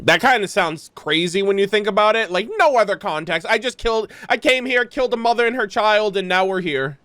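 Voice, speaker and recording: A man hosting a gaming video, giving casual, expressive, slangy reaction commentary close to the mic. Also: high voice, brisk, no room sound. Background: game music.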